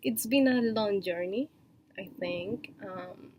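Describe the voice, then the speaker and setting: A woman from Colombia with a Colombian Spanish accent, a Spanish native speaker, talking in a casual personal vlog, hesitant and full of fillers and soft laughs. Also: mid-range voice, takes it slowly. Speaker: vlogger and online shop owner.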